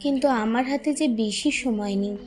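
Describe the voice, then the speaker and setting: Cartoon role: woman as sorrowful, kind young woman, distinctly high voice, plaintive, over music. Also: brisk, a little mellow, natural voice. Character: compassionate, sorrowful young woman telling her letter. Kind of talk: cartoon drama letter monologue. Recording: music behind the voice.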